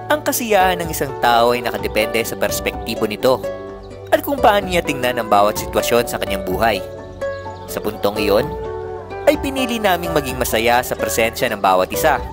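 A young man calmly narrating his camping trip in a measured, poetic voice-over. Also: mid-range voice. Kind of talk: scripted reflective voice-over narration. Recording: voice-over with loud music behind.

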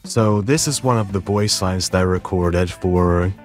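A man narrating a step-by-step software tutorial in a casual, explanatory way, as the host of the lesson. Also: deep voice, a little slow, faint music behind.

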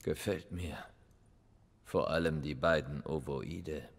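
An actor playing a stoic, dry-witted monster hunter for hire in a video game, terse and deadpan. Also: male voice, very deep voice, slow pace, vocal fry, exaggerated voice. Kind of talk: understated video game acting.

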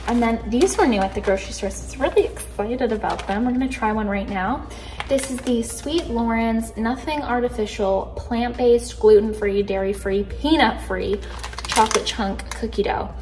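A young woman from Canada chatting casually in her kitchen, bubbly and easily excited, filmed on a phone camera. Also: medium pitch. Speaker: vlogger. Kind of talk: casual grocery haul chat. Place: kitchen indoors.

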